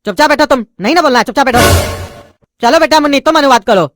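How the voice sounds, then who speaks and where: A woman's voice: sits high, quick pace, dry recording, clean studio sound, close to an everyday voice. A woman voicing an impatient male teacher who scolds and mocks his students, with a lilt. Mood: scolding. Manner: scolding, sarcastic.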